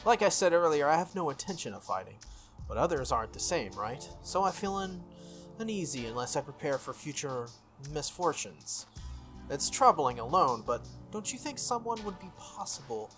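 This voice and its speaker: Smug visual novel schoolboy played by a man, breezy and needling, very animated pitch, music faintly behind. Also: moderate pace. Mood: persuasive. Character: smug, falsely friendly teenage schoolboy schemer.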